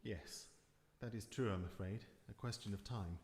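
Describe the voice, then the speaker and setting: A man's voice: lowish voice, moderate pace, a little mellow, close to an everyday voice. A man playing a sober, blunt but polite scientist with a precise, dry manner in a live radio drama. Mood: grave. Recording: echoey hall, live stage performance.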